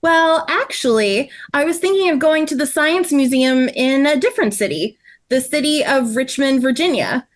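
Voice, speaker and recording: A woman playing a sweet, eager, helpful friend in a children's story table read, with friendly, expressive delivery. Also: sits high, moderate pace.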